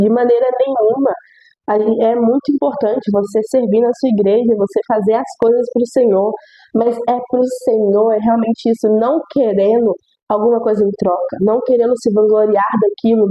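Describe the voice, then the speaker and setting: A woman's voice: mid-range voice, husky, muffled recording. A young woman, congested and nasal from a cold, earnestly reading scripture and reflecting on it in a devotional video, often repeating phrases for emphasis.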